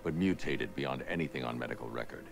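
Very deep gravelly grim vigilante. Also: male voice, quick pace, over music, heavily acted. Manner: terse, grave, controlled. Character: grim stoic masked male vigilante detective. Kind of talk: video game cutscene acting.

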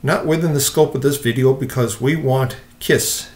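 A man hosting a casual software walkthrough, explaining step by step in an informal, unhurried way. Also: mid-range voice. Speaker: software tutorial host.

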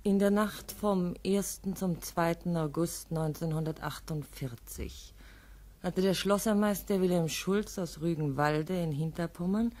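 A woman's voice: lowish voice, unhurried, a little mellow. A woman narrating a radio play interlude in a dry, slightly ironic tone.

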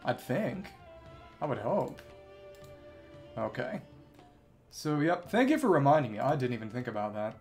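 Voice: mid-range voice, big pitch swings, moderate pace, long pauses, darkish tone. A game streamer chatting casually with viewers and reading game dialogue in clipped, musing fragments. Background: game music.

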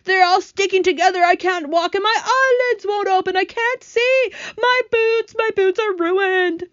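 A woman voicing a loud, complaining male centipede in a storybook, wailing and moaning melodramatically. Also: very high voice, a little slow, dry recording, muffled recording, voice pushed up. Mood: angry, anguished.

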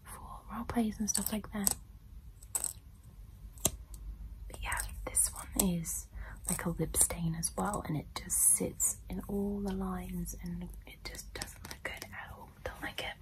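A young woman whispering softly and gently in a casual, unhurried ASMR style as she presents things close to the mic. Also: on the low side, steady tone, rough recording. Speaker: ASMR video host. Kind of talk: soft-spoken whispered ASMR product show-and-tell.

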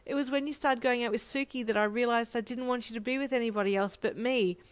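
A woman's voice: a little high, a little fast, pitched above the performer's own voice, close to an everyday voice. A woman voicing an emotional, flustered young woman in a romance story, given to breathless, blurted outbursts. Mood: tearful, rushing out.

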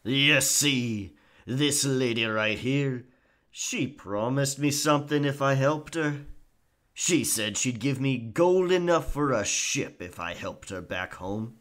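A man acts out a roguish male pirate in an ASMR roleplay. He speaks slowly and theatrically, drawing words out with a sly, cocky charm. The delivery is taunting, like a swaggering bargainer at sea. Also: mid-range voice, a bit bright.

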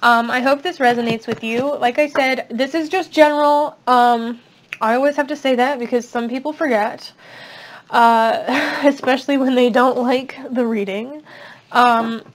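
A young woman giving a casual tarot reading at a table, chatty and reassuring, her voice slightly raspy. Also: sits high, fairly even intonation, a little slow. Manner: chatty, reassuring, many fillers. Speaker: tarot reader. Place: indoors at a table.

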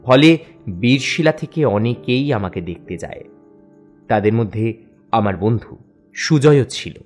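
A man narrating a first-person horror story for a cartoon in a measured storytelling manner. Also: medium pitch, animated, a little mellow. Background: faint background music.

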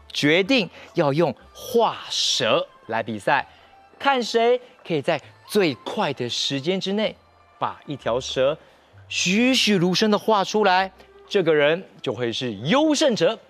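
A young male children's storyteller with a Taiwanese accent narrates in a high voice. The pitch moves expressively, and light music plays behind him. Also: very animated, a little slow. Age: young adult. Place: TV studio set.

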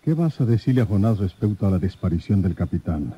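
An actor with a Mexican accent playing a rough, shrewd, persuasive rural detective in a radio drama, with a husky voice. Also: male voice, low-pitched, sing-song, fast talker, no echo, muffled recording, exaggerated voice. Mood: firm, persuasive.

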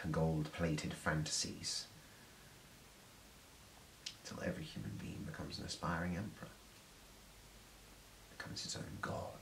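A man performing a theatrical film monologue as a sardonic, menacing devil full of contempt, dramatic and shifting between hushed and forceful delivery. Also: very deep voice, voice pushed down, heavily acted, low-quality recording. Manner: dramatic, swinging from whispers to raging outbursts. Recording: noticeable background hiss.

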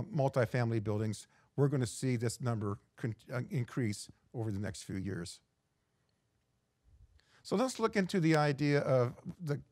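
A middle-aged man giving a prepared keynote as an executive director, measured and reading from slides with occasional restarts. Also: mid-range voice, darkish tone, no echo, clean studio sound. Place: indoor studio in front of a backdrop.

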